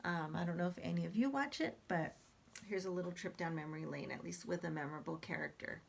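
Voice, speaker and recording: A woman reading a picture book aloud in a chatty, hesitant way, pausing to explain the pictures. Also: fairly low pitch, vocal fry, slight background noise. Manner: chatty, hesitant with many fillers. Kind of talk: casual read-aloud with commentary.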